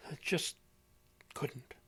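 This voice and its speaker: An actor playing a confused, reluctant elderly man with failing memory in a horror audio drama, stammering and repeating himself. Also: extremely slow. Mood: resigned, uneasy. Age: older.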